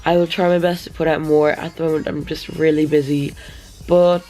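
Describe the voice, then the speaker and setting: A hoarse young woman chatting casually in a vlog, rambling with lots of fillers, filmed outdoors on a handheld camera. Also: deep voice, flat delivery, brisk, warm tone, poor audio. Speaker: vlogger. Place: outdoors on a sunny day. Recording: background music playing under voice.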